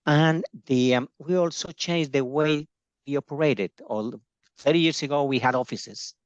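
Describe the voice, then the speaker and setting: A man's voice: mid-range voice, moderate pace, clean tone, no echo, dull audio. Hesitant Colombian-born man, filler-heavy. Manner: hesitant, many fillers and restarts. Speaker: education agency founder, interview guest. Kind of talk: casual video-call interview.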